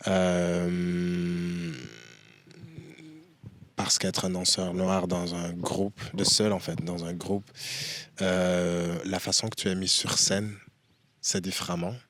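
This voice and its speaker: Low, flat-voiced young man, halting. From Belgium. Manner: halting, many pauses and "euh" fillers. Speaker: interview guest, dancer and performance artist. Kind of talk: casual interview. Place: outdoors in a park at a festival.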